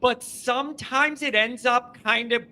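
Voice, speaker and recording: Bright high-voiced male call-in host. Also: a little slow, slight background noise. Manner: animated, rambling, self-deprecating. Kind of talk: casual call-in chat. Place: home basement.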